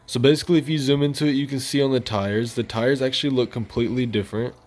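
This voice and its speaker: A man's voice: medium pitch, steady tone, fast talker, darkish tone. A man hosting a gaming channel, giving casual, informal news commentary in a loosely rambling, colloquial way. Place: voice-over on gameplay footage. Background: music.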